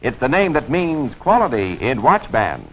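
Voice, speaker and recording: A man delivering a scripted 1950s television advertising pitch as commercial announcer. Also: sing-song, moderate pace. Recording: muffled, faintly noisy 1950s broadcast.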